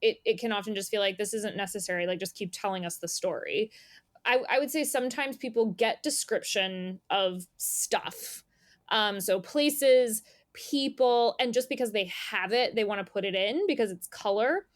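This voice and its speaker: A woman with a medium-pitched voice, recorded with almost no room sound. She talks as an editor being interviewed, informal and thoughtful, in loose conversational phrasing. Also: normal speed, no room sound, clean studio sound.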